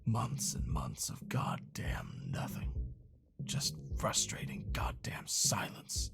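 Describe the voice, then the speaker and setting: An actor playing a bitter, grieving, foul-mouthed middle-aged police inspector in a video game, with a gravelly, breathy, world-weary delivery. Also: male voice, deep voice, huge pitch swings, takes it slowly, whispered, music in the background, exaggerated voice. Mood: bitter, frustrated. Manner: world-weary, cynical, swearing. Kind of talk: noir crime narration in interrogation dialogue.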